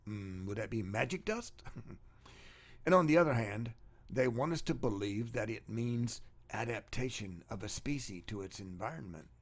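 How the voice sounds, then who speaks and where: A man's voice: lowish voice, animated, a little slow. A man reading an argumentative text aloud as narrator, with emphatic, sarcastic delivery and rhetorical questioning. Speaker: narrator reading own book chapter.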